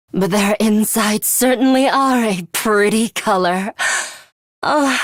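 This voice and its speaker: A woman's voice: fairly high pitch, no echo, clean studio sound. A woman voicing an aloof, scornful young female fighter with a sadistic streak in a video game, curt and condescending in manner. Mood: gleeful, sadistic. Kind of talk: English video game voice acting.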